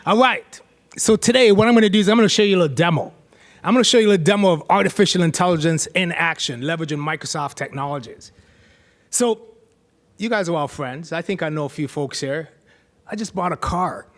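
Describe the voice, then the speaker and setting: A man's voice: sits high, brisk. A middle-aged man giving a playful, chatty live tech demo on stage, joking with the crowd into a handheld mic.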